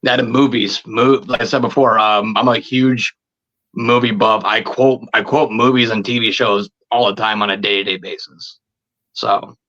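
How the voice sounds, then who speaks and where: Man, thirties, bright forceful voice, halting repetitive speech, casual interview chat, dull muffled recording. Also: lowish voice, fairly even intonation. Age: 30s. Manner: halting, repeats words and phrases. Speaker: podcast guest, video creator.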